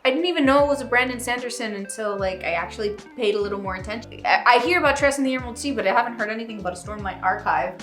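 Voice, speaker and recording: A woman's voice: fairly high pitch, racing, hardly any pauses, fairly bright tone. A woman co-hosting a book vlog, chatting casually and addressing viewers in a conversational way. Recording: roomy echo, faint music behind.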